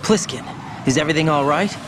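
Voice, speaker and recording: An actor playing an earnest young soldier in a video game, urgent and questioning in a military manner. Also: male voice, a little high, fast, music in the background, lightly acted. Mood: concerned, questioning. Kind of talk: dramatic video game voice acting.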